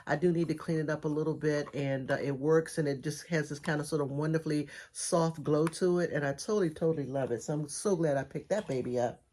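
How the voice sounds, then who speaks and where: A middle-aged woman with a raspy, congested voice, chatting enthusiastically through a thrift haul video. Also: low voice, brisk, hardly any pauses, dark tone, slight background noise. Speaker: thrift reseller vlogger. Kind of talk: casual haul video chat.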